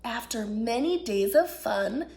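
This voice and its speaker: A woman reading a picture book aloud to children as an expressive storyteller. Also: on the high side, slow, creaky. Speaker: children's storyteller, children's ministry director.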